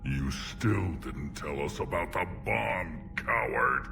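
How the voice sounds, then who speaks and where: A man's voice: low-pitched, lively intonation, takes it slowly, vocal fry, recorded from a distance, music in the background, exaggerated voice. A man playing a belligerent, bitter alien warlord in a video game, blunt and accusing. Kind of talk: video game cutscene acting.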